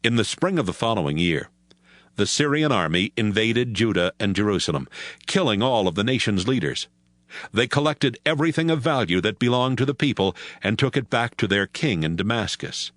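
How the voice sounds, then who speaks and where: Casting: male narrator, fairly low pitch, lively intonation, measured scripted Bible storytelling. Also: no echo.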